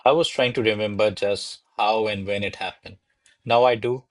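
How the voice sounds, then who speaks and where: Male actor as courtly period gentleman, low-pitched, florid, theatrical, formal radio drama delivery, close dry sound. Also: steady tone, normal speed, dry recording, lightly acted. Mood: flustered. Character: courtly servants and grandiloquent noble suitor of classical Spanish comedy. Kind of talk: stage-style radio play acting.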